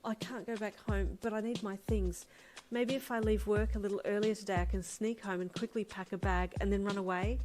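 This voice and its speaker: A woman's voice: medium pitch, fairly even intonation, brisk, noisy background, natural voice. A woman voicing a frightened, fiery young woman who speaks in an exasperated, raised voice, with music underneath. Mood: anxious. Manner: shouting, exasperated.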